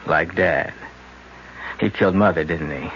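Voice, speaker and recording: Low-voiced manipulative young man, coaxing and hypnotic, old radio drama sound. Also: a little slow, fairly bright tone, slight background noise. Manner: coaxing, hypnotic, later drunk and rattled. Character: scheming young man, twin brother. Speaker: narrator and lead. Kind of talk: 1970s radio drama acting. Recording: dull lo-fi old radio broadcast.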